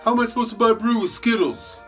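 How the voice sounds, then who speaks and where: A man's voice: very high-pitched, fast talker, a bit bright, faint music behind. A man voicing a grumbling male set crew worker who complains in a comedy sketch. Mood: griping. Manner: complaining, griping.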